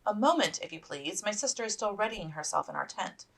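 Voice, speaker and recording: A woman voicing a sensible, proper young gentlewoman and elder sister in a period story, firm and exasperated in manner. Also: mid-range voice, animated, a little fast, creaky, voice pushed up, poor audio. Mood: polite, firm.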